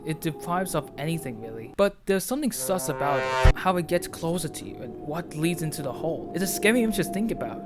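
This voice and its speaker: Higher-pitched man narrating over music. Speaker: video essayist. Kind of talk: scripted video essay narration with casual asides. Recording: background music with guitar. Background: music, guitar.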